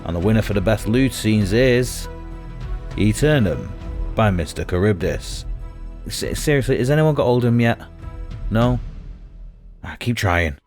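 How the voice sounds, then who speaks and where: A man's voice: fairly low pitch, animated, measured pace, pauses often, a little mellow, faint music behind. A man hosting an awards show with a flustered, stammering comic delivery. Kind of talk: comic awards presenting with a staged phone call.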